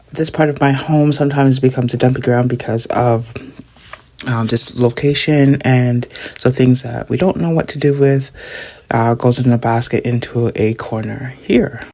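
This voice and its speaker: A woman's voice: very deep voice, mellow. A middle-aged woman, chatting casually to her viewers while tidying up at home. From Canada. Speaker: vlogger. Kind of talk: casual chat while decluttering.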